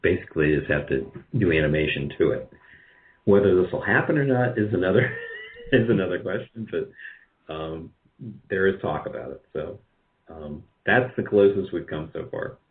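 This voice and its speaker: A man's voice: deep voice, lively intonation, normal speed, phone-quality sound. A middle-aged man answering interview questions conversationally as a guest, in a hesitant, self-deprecating way. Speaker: guest, radio drama producer.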